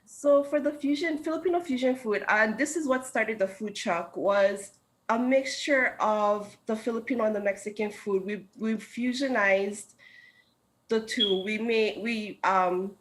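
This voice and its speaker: A woman's voice: medium pitch, measured pace, slight background noise, poor audio. A woman in her thirties from Hawaii, a food truck co-owner, explaining her menu at length in a remote news interview over a video call. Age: 30s.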